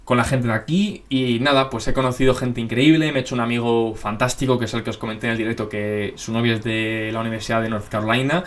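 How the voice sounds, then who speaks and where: A young man with a Madrid accent talks at a rapid clip as he hosts his own channel. His pitch sits in the middle range, and his delivery is loose and rambling, full of filler tags and restarts. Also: steady tone. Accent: Madrid Spanish. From Spain. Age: young adult. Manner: rambling, lots of filler tags and self-corrections. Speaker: basketball channel host. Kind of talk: casual Q&A monologue.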